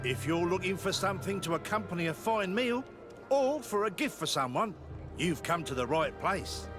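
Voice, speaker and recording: A man's voice: high voice, lively intonation, normal speed. A man voicing a genteel wine merchant in a video game, polite and salesmanlike but evasive. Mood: welcoming. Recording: music behind the voice.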